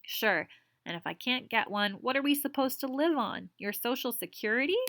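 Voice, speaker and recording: A woman's voice: a little high, animated, normal speed, fairly bright tone. A woman voicing a weary middle-aged female teacher who is distraught and pleading. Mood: distressed. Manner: pleading, emotional.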